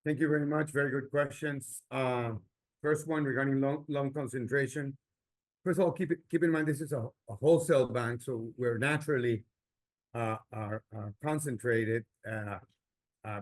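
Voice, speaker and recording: Halting male executive on an earnings call. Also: medium pitch, steady tone. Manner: halting, repeating words. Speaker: company executive answering analyst questions. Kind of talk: unscripted earnings call answers.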